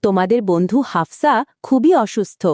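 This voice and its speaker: A woman playing a kind, caring schoolteacher in a cartoon, gentle and earnest in manner. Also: medium pitch, expressive, normal speed, no room sound, studio quality, lightly acted. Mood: kind, concerned. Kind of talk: cartoon voice acting.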